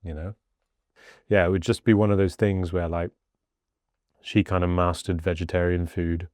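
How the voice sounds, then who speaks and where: A man hosting a podcast, his voice very low and dark. He talks in a relaxed, loose way, full of fillers. The sound is dry and close. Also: normal speed, dry recording. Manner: relaxed, many filler likes. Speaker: podcast host. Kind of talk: casual chat.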